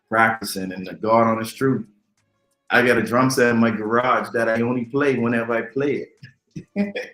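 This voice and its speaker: A man from the Bahamas, a guest talking unhurriedly about his music, with many ums and pauses. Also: lowish voice, a bit bright. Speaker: DJ and drummer, interview guest. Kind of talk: relaxed conversational interview. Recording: echoey room.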